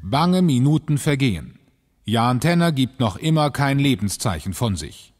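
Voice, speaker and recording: A man's voice: medium pitch, sing-song, normal speed, darkish tone, soft background music. A man giving dramatic scripted narration for an audio drama. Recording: long hall reverb.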